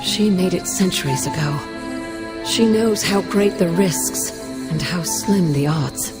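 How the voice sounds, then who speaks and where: Low-voiced female mentor spirit, caring, theatrical, measured; video game drama over clear music. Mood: grave, urgent. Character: wise sorceress spirit, caring mentor, resolute. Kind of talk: video game cutscene acting. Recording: music behind the voice.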